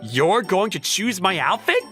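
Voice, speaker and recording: Man voicing an awkward young guy, lively pitch, music behind. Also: measured pace, full character voice. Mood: musing, bemused. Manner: hesitant, self-deprecating, dryly musing to himself. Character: awkward, modest young working man, romcom protagonist. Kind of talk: manga dub voice acting with inner monologue.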